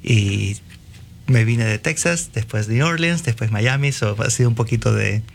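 A man's voice: mid-range voice, moderate pace, vocal fry, a little hiss or hum. A man with a Venezuelan accent introducing himself conversationally as a panel guest. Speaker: guest, peer support team leader.

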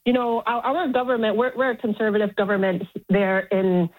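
Over a muffled, narrow phone line, a middle-aged woman gives her views to a radio show. She is frank and emphatic, speaking off the cuff and repeating words to press a point. Her pitch sits in an ordinary range for a woman. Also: little pitch movement, fairly quick. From Montreal, Canada. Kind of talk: spontaneous opinionated phone-in talk.

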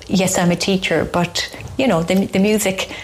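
A woman's voice: mid-range voice, steady tone, moderate pace, slight background noise, poor audio. Chatty Irish woman, rambling. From Ireland. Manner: chatty, rambling, laughs easily. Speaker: teacher and singer, guest. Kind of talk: casual radio interview.

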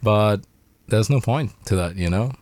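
A man's voice: on the low side, unhurried, darkish tone, no room sound. A Sri Lankan-born man in a band, chatting casually on a radio show, rambling, swearing and laughing as he talks. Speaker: band member guest. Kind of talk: casual radio interview chat.

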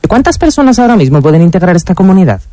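A man's voice: high voice, sing-song, very fast, warm tone, no echo. A man with an accent from Spain, hosting and asking questions in a conversational interview style. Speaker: interviewer, host.

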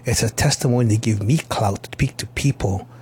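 A man's voice: medium pitch, a little fast, dark tone, slightly rough. A man in his fifties from Hawaii, joking in a laid-back way during a casual interview.

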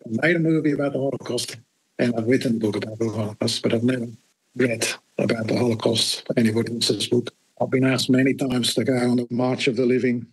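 Give me a husky-voiced man in his eighties. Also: mid-range voice, low-quality recording. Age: 80s. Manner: matter-of-fact, blunt, with dry asides. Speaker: interviewee recounting his life. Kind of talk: spoken life story in an interview.

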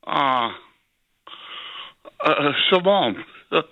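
A male caller speaks slowly and hesitantly, stopping often to find his words. His voice is gravelly. It comes through a thin, tinny phone line that sounds dry. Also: fairly high pitch, expressive, no room sound. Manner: halting, hesitant, many pauses. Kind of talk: talkback radio call.

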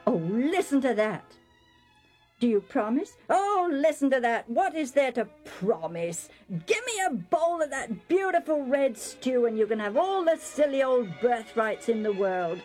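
Woman as a brash, roaring young hunter, pitched up. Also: very animated, measured pace, darkish tone, faint music behind. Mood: scornful impatience. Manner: roaring, blustering, impatient. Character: brash, starving young hunter, rough impatient twin brother.

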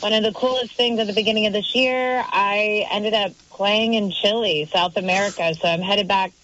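A woman's voice: mid-range voice, fairly even intonation, moderate pace, a bit bright, slight background noise. A female singer calling in by phone, chatty and upbeat, laughing easily. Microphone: phone line. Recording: dull phone line.

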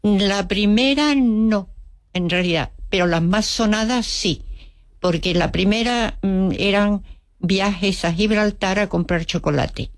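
A woman's voice: medium pitch, takes it slowly, no echo, clean studio sound. An older woman with an Andalusian Spanish accent, Russian by mother tongue, telling her memories as an interview guest with wry humor and acted-out quotes. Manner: vivid storyteller, acts out quotes, wry humor. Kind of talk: storytelling reminiscence.

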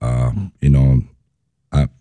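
Italian-accented chef guest, extremely deep and dark voice, halting but passionate. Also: male voice, little pitch movement, clear, resonant tone, no room sound. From Italy. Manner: halting, passionate, many fillers. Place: studio.

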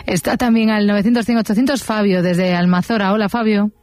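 A woman's voice: mid-range voice, steady tone, fairly quick, a little mellow. Woman hosting a Spanish radio phone-in. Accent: Spanish, from Spain. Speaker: radio call-in host. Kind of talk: casual phone-in chat. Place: radio studio.

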